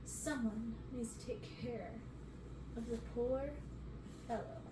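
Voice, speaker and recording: A woman's voice: fairly high pitch, fairly even intonation, slow, reverberant, far from the mic, noisy background. A woman voicing a vain, self-satisfied braggart man in a storybook reading. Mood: smug.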